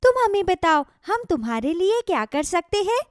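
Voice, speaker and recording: A woman acts out a magical talking plant from a children's moral cartoon. The voice is high and very melodic, with a benevolent, advising manner. It sounds close and dry. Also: sing-song, moderate pace, no room sound. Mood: helpful. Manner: benevolent, solemnly advising, speaks as "we". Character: kindly magical talking tomato plants granting wishes.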